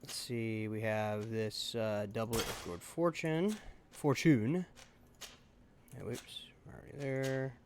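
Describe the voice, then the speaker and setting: A man's voice: medium pitch, slow pace, background noise. A man giving casual video game playthrough commentary, thinking aloud as he plays. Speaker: gaming video host.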